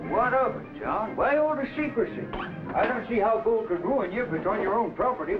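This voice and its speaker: Eager gold-struck frontier hand, folksy and excitable, raised pitch. Lo-fi vintage drama. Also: male voice, a little fast. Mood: puzzled. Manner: rustic, excitable. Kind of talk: 1950s adventure drama acting. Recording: dull old broadcast, loud music.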